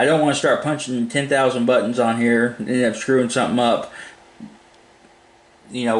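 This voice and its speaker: A man giving a casual, unscripted product demo in a plain-spoken, informal, rambling way. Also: mid-range voice. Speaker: hobbyist product reviewer. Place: indoors at a home desk. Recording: echoey room, faint background noise.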